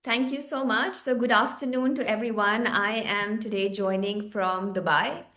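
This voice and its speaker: A woman's voice: mid-range voice, fairly even intonation, normal speed, fairly bright tone, roomy sound. A woman in her forties from India, speaking as a panelist in an online seminar, articulate and enthusiastic. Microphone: video call.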